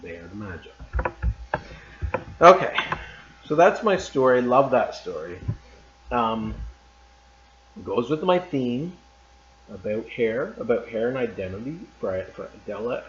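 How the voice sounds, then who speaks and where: Male teacher, story read-aloud, calm and measured, echoey room, slightly dull sound. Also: on the low side, a little hiss or hum. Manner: calm and measured, loose and chatty after reading. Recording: roomy, slightly dull recording.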